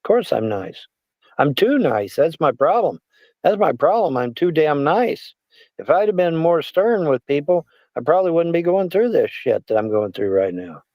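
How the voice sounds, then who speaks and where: A man's voice: a little high, very animated, normal speed, a little mellow, dry recording. Rambling man in his sixties. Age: 60s. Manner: rambling, self-deprecating, repeats himself. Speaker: livestream host. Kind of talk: casual livestream chat.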